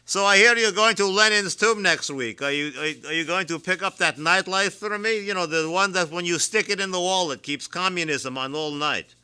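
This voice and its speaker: A man hosting a comedy radio show, teasing his guest in a deadpan manner and stammering on restarts. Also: high voice, moderate pace, hardly any pauses, smooth.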